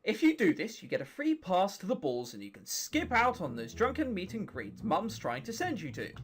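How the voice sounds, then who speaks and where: A man's voice: high voice, wildly swooping pitch, normal speed. A man voicing a teasing, romantic troll sister in a visual novel, coaxing and persuasive.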